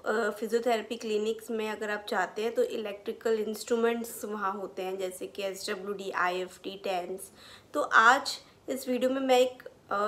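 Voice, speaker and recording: A woman's voice: mid-range voice, a bit bright. A young adult woman presenting as a physiotherapist, explaining technical terms in plain words in an educational style. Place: small furnished room indoors.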